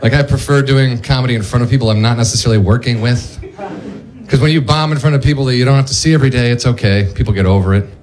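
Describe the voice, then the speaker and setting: A man in his thirties doing stand-up on stage, self-mocking and acting out quick voices. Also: medium pitch, a little mellow. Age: 30s. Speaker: stand-up comedian. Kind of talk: stand-up comedy set. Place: comedy club stage before a live audience. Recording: live audience crowd noise.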